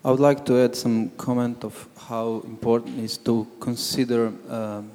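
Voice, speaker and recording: A man from Croatia, commenting from the audience at a panel discussion, halting with many restarts. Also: mid-range voice, little pitch movement, dark tone. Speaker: audience member. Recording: long hall reverb.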